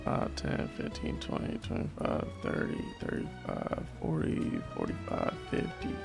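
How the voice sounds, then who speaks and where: Slow-talking, playful male game streamer. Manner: playful, muttering reactions. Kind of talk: casual live commentary while playing. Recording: game music clearly behind the voice.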